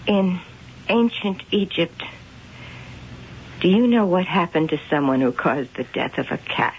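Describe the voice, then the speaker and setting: A woman's voice: fairly low pitch, expressive, a little slow. An actress playing a mocking, theatrical woman devoted to her cats, in a noisy old radio drama with grand, dramatic phrasing. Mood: grave, grieving. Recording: noisy, dull vintage radio drama tape.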